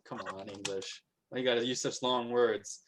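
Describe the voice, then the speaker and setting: A man's voice: mid-range voice, steady tone, moderate pace, a bit bright, a little hiss or hum. A man hosting a casual conversation, joking and easygoing. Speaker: host.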